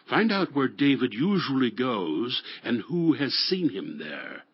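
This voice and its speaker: Male actor as commanding king on the hunt, emphatic, medium pitch, scripture drama, dull lo-fi recording. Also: very animated, slow, slightly rough, dry recording, exaggerated voice. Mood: calculating, commanding. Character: commanding, suspicious king Saul hunting his rival. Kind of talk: dramatized scripture audio acting. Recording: dry, dull lo-fi audio.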